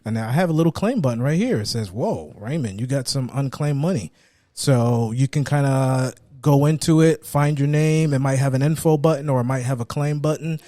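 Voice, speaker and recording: A man's voice: mid-range voice, normal speed, warm tone, dry recording. A man co-hosting a podcast, casually showing and explaining things in an informal, rambling way. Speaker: podcast co-host presenting website picks. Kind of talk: casual show-and-tell.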